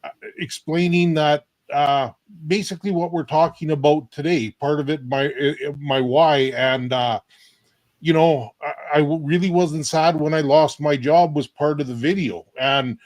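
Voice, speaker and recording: A man in his forties from Canada, telling his story on a live online panel in a candid, rambling way with halting restarts. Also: on the high side, fairly even intonation, darkish tone, no echo.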